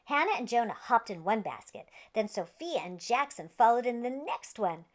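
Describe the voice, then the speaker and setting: A woman's voice: a little high, very animated, moderate pace. A woman hosting a children's read-aloud, narrating a picture book in a playful, exaggerated way aimed at young listeners. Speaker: children's storyteller and host.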